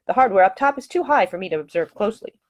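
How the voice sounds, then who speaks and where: A woman voicing an earnest, brisk young female traveler with a precise, matter-of-fact way of talking, in an audiobook romance. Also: medium pitch, animated, lightly acted. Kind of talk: acted dialogue in an audiobook romance.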